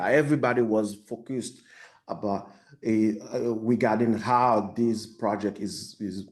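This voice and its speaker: A man from Haiti speaks with a Haitian accent, his voice low and mellow. He answers audience questions about his film over a video call, pausing and restarting as he searches for words. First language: Haitian Creole or French. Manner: halting, restarts often, thoughtful. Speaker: filmmaker.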